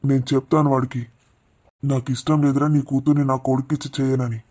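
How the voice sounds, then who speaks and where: An upright father, played by a man, rebukes his wife firmly in a mid-pitched, flat voice. He sounds indignant and protective in a melodramatic drama. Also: a little fast, natural voice.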